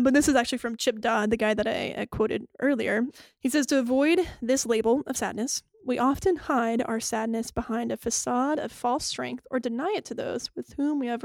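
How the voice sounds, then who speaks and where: A young woman co-hosts a casual faith podcast, explaining points one by one and laughing easily. Her voice is higher and gravelly with vocal fry. The recording is dry and close. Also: no echo. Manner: warm, laughing, explains step by step. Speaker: podcast co-host.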